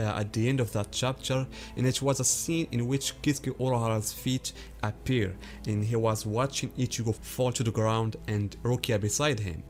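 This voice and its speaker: A man with noticeably accented English lays out a long argument for his viewers. He talks in an explanatory, lecturing way, often turning straight to the audience. His pitch sits in the middle range for a man. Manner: explanatory, addresses viewers directly. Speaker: anime theory YouTuber. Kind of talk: scripted video commentary.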